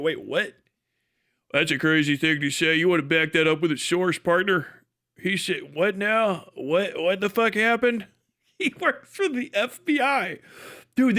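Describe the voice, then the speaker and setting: A man's voice: high voice, fairly bright tone. A man hosting a livestream, reacting in casual, profane slang with an incredulous, mocking manner. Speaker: livestream host reacting to a video.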